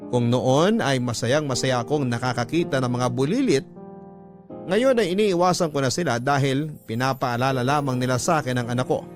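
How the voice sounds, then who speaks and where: A man's voice: medium pitch, moderate pace. A Filipino man reading a letter as scripted, dramatic narration for a radio drama. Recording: music bed under the voice. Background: music.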